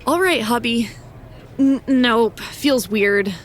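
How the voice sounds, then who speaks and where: A sarcastic young female wrestler in a video game cutscene. She talks in a dry, wry way with a slightly high voice, over a faint bed of ambience. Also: slow, a little hiss or hum, low-quality recording.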